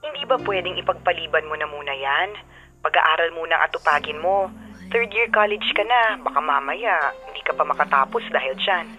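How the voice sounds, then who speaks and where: Lower-voiced, concerned mother. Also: a little fast, sharp tone, soft background music. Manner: pleading, admonishing. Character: concerned, gently firm mother working abroad. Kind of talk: Filipino radio drama acting.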